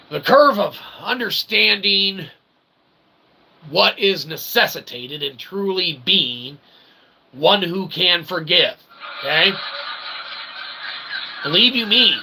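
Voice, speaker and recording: A man's voice: sits high, gravelly, sharp tone, husky, low-quality recording. A man delivering a tongue-in-cheek, rambling philosophical monologue as a channel host, in wordy, convoluted phrasing with frequent check-ins.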